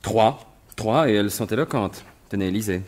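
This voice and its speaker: Man playing sly, animated gentleman thief. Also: on the low side, normal speed, natural voice. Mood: absorbed, intrigued. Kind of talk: 1950s-style French radio mystery acting.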